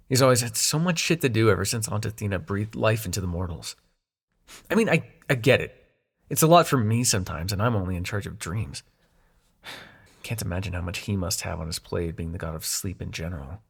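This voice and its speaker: A man's voice: fairly low pitch, vocal fry, fairly bright tone, husky, full character voice. A man acting in an intimate audio roleplay as a young god of dreams, sarcastic and wounded but fond, talking in casual, sweary modern slang. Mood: subdued, hurt.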